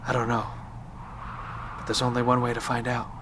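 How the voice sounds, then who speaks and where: Audio drama: male actor for an inquisitive, persuasive survivor, earnest and urgent, mid-pitched, with background noise. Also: monotone, moderate pace, natural voice. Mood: hushed, cautious.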